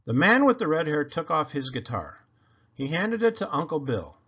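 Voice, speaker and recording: A man reading a children's book aloud with expressive, emphatic delivery. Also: on the high side, moderate pace, slightly rough, highs cut off. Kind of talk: animated storybook read-aloud narration.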